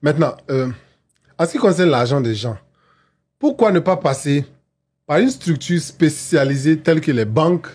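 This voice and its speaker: A man's voice: a little high, animated, normal speed, a little mellow, husky. A man from Côte d'Ivoire hosting a podcast, asking probing questions and giving frequent short agreements. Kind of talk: podcast interview.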